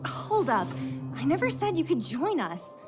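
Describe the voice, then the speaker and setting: A woman's voice: high-pitched, sing-song, unhurried. A woman voicing a tough, blunt, cocky young female fighter in a video game. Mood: brisk, dismissive. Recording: game music behind the voice. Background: music.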